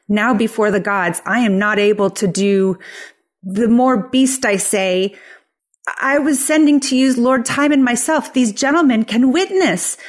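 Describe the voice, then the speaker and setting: A woman playing an effusive, flattering, two-faced Athenian lord in a theatrical Shakespeare play reading. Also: mid-range voice, natural voice. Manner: gushing, theatrical.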